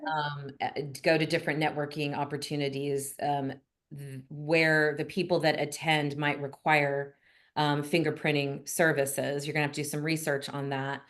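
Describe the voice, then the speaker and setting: A woman's voice: sits low, flat delivery, moderate pace, fairly bright tone. A woman hosting a group coaching call, chatty and encouraging, with many fillers. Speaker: notary business coach, call host.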